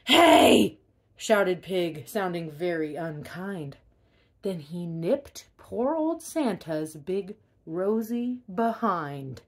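A woman reading a children's picture book aloud in an expressive way, stressing key words. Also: lowish voice, takes it slowly. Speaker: storybook reader.

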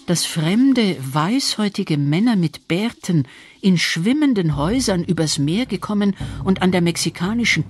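A narrator reading a scripted history documentary in a measured storytelling register. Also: mid-range voice.

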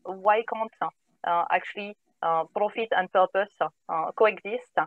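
A woman's voice: medium pitch, a little slow, no room sound. French-accented woman on a tinny video call. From northern France. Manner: hesitant, many filler sounds. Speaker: guest, company co-founder. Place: home room, indoors. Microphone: wired earphone headset on video call. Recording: dry, tinny call audio.

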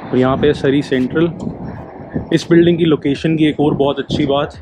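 A man's voice: on the high side, fairly even intonation, normal speed, noisy background. Chatty man touring echoey rooms. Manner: chatty, mixes English phrases into Hindi. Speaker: vlogger giving a tour. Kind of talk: casual Hindi-English tour narration. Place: new apartment building, rooms and rooftop. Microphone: handheld action camera, wide angle. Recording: echoey empty rooms, music underneath.

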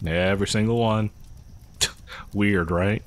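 A man's voice: lowish voice, fairly even intonation, takes it slowly, a little hiss or hum. A man playing a chatty, creepily friendly killer posing as a partygoer in a horror audio roleplay, rambling hesitantly and conspiratorially with chuckles. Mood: chatty, amused. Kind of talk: horror audio roleplay, casual storytelling to listener.

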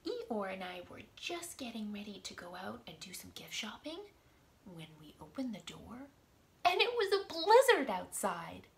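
A woman in her thirties hosting a storytime, talking expressively and deliberately to small children. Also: mid-range voice, very animated, creaky, slight background noise. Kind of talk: expressive picture-book storytime reading for young children. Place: small indoor room at home.

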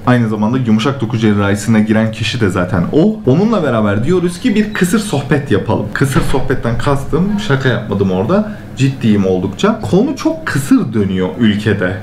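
A man's voice: mid-range voice, a little mellow. A man in his thirties chatting casually to camera as a vlog host. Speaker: vlog host at a veterinary clinic. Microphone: action camera at arm's length. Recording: echoey clinic rooms, faint background noise.

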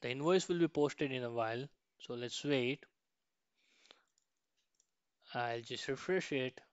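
A man teaches a software process step by step in an informal screen-share style. His voice is clear and smooth at a medium pitch, captured close and dry on a slightly dull, lo-fi microphone. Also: slow pace, no echo. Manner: frequently ends points with a questioning "right". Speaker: software tutorial instructor.

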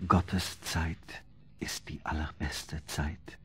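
An actor playing a polite, gentle young man in a radio drama. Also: very deep voice, little pitch movement, drawn-out words, full character voice.

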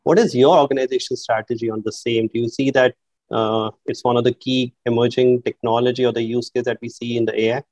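A man's voice: medium pitch, steady tone, a little fast, a little mellow, dry recording. A man moderating a panel discussion on a video call, asking polite follow-up questions and handing over to speakers. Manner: polite, hedging follow-ups. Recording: dry, dull video-call audio.

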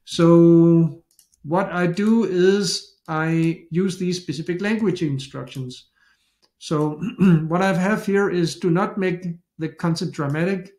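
A man's voice: fairly high pitch, slow pace, darkish tone. Danish-accented man, echoey room. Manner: chatty, self-interrupting, thinks aloud with restarts. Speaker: SEO tutorial host. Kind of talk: casual screen-share tutorial.

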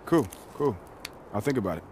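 An actor playing a reflective, easygoing American basketball player in a naturalistic, low-key video game performance. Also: male voice, animated, normal speed, a little mellow, slight background noise, natural voice. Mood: wary, casual. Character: professional basketball star, reflective, easygoing, close to his family.

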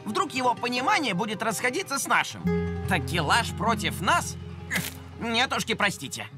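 A man's voice: extremely high pitch, very animated, normal speed, loud music behind the voice. A man voicing an anxious, indignant cartoon boy pirate, earnest and argumentative. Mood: earnest, worried.

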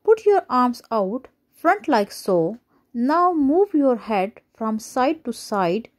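A woman reading a children's picture book aloud as a storyteller, deliberate and a little halting in places. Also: fairly high pitch, sing-song.

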